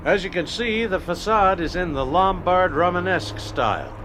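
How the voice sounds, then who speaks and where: A man's voice: sits high, expressive, moderate pace, noisy background. An actor playing a pedantic, good-humoured American father in a radio drama, explaining things like a guidebook. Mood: informative, cheerful. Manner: didactic, guidebook-like.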